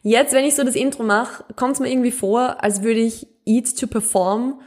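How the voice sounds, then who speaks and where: A woman's voice: on the high side, steady tone, moderate pace, darkish tone. Austrian woman in her 20s, chatty podcast host, mühlviertel accent under her standard german, laughs a lot. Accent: Upper Austrian (Mühlviertel), speaking standard German. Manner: chatty, laughs often, mixes in English words. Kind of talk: casual solo podcast chat.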